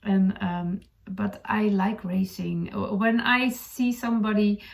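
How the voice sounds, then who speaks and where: Dutch woman chatting on her hobby podcast, bit of vocal fry, mid pitch, lots of pauses hunting for words. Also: fairly even intonation. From Netherlands. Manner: hesitant, searching for words, chuckling now and then. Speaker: knitting podcast host. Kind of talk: casual chat to camera.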